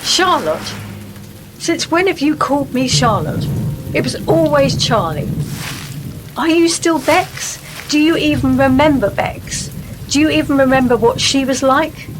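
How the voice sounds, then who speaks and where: A woman's voice: sits high, animated, a little slow, background noise. A woman acting in a radio play as a wistful, reproachful old friend, plain-spoken and probing. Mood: hurt, reproachful.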